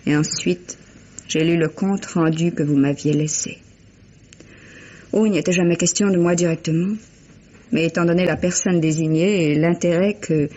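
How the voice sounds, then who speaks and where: An actress playing a refined, emotional widow in a vintage French radio mystery, with genteel, expressive theatrical delivery. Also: female voice, low voice, breathy edge. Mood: distressed, confiding. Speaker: actor. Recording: muffled old radio drama recording.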